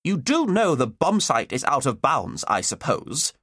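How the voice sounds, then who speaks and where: A man's voice: medium pitch, huge pitch swings, measured pace, a bit bright, no room sound, clean studio sound, pitched above the performer's own voice, heavily acted. A man voicing a furious, sarcastic woman schoolteacher with a severe, emphatic delivery in an audiobook story. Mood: stern, angry.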